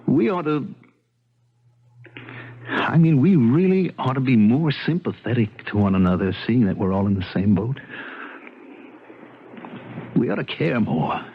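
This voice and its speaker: A man playing an earnest, troubled police officer, ruminating haltingly and repeating himself in a 1970s radio drama. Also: mid-range voice, breathy edge. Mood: sombre, pleading. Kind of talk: 1970s radio drama acting, conversational monologue. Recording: muffled, slightly noisy old radio broadcast.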